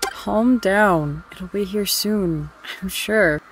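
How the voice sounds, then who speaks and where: Woman playing a slow, timid young man. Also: medium pitch, faint music behind, poor audio. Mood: uneasy. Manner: hesitant, placating. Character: timid, soft-hearted young man, cartoon runaway.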